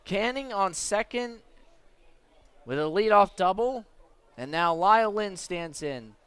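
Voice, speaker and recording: An American man giving measured, descriptive live radio play-by-play of a baseball game as the announcer. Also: high voice, lively intonation, a bit bright, smooth, no echo. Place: at a baseball stadium.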